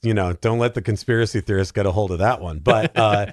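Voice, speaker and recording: A man's voice: fairly low pitch, fast talker, dry recording, clean studio sound. A man chatting casually as a podcast guest about films, emphatic and given to comic exaggeration. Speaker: podcast guest, film commentator. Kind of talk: animated casual film banter.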